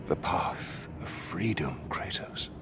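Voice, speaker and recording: An actor playing an earnest, solemn guide to a warrior in a video game, speaking in a measured, hushed way over music. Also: male voice, sits low, animated, vocal fry, full character voice. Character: earnest male guide secretly aiding a warrior. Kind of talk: video game cutscene acting. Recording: loud music behind the voice.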